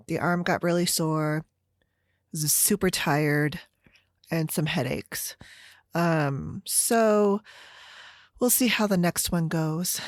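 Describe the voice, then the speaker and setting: A middle-aged woman with an American Midwestern accent chatting casually and haltingly as a solo podcast host, meandering through her stories. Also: fairly low pitch, no echo, studio quality. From Chicago area, United States. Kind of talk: casual solo chit-chat.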